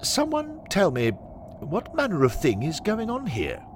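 A man voicing a pompous, excitable old-fashioned gentleman in a blustering, plummy for an audio story. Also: medium pitch, unhurried, background noise, pitched above the performer's own voice.

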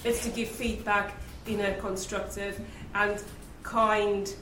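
A woman speaking on a live leadership panel, warm and reflective, with easy laughs. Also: medium pitch, little pitch movement, takes it slowly, background noise. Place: event venue with live audience. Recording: roomy, distant, live-event ambience.

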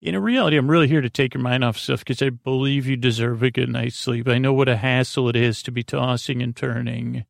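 A man with a creaky voice hosts a bedtime podcast, meandering haltingly in a lulling, self-deprecating monologue. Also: medium pitch, fairly even intonation, no room sound, clean studio sound. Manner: lulling, soothing. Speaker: podcast host.

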